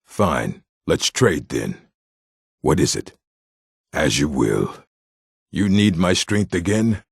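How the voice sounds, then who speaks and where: An actor voicing a proud, gruff orc warrior in a fantasy video game, gravelly and husky, with a stern, blunt delivery. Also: male voice, very deep voice, big pitch swings, takes it slowly, dry recording, clean studio sound, exaggerated voice. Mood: curt, stoic.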